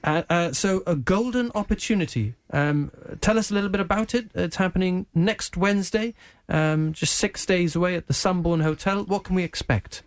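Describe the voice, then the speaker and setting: A man's voice: high-pitched, moderate pace, few pauses, dry recording. A man with a Gibraltarian accent hosting a casual live radio interview, dropping the odd Spanish word into his English. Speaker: radio presenter interviewing guests. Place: radio studio.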